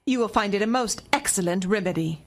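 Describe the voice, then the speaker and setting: A woman's voice: medium pitch, fairly quick, loud music behind the voice, natural voice. A woman playing an unflappable, polite, matronly mother, prim and solicitous, in a radio drama. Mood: kindly, unruffled.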